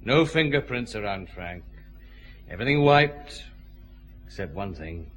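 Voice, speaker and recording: An actor playing a middle-aged Scottish chief inspector in a radio detective drama, brisk, pressing and confident, with dry humour. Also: male voice, on the low side, very animated, a bit bright, faint music behind. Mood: measured, pressing.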